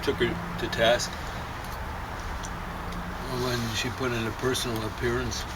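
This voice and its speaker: A man's voice: medium pitch, flat delivery, unhurried. A man giving his opinions in casual chat outdoors, with a sardonic, grumbling manner. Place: outdoors on a deck. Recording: distant from mic, clear outdoor traffic noise.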